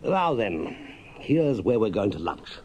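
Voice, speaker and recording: A man voicing a brisk, friendly, excitable water rat in a children's storybook, with hearty, chatty gentlemanly talk. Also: lowish voice, lo-fi sound, a little hiss or hum. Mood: matter-of-fact. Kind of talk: children's storybook character reading.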